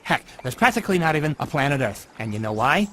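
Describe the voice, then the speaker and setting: A man's voice: fairly high pitch, very animated, fast, a bit bright, slight background noise. An actor playing a pushy, self-promoting male otter surf promoter in a game. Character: boastful fast-talking male otter promoter.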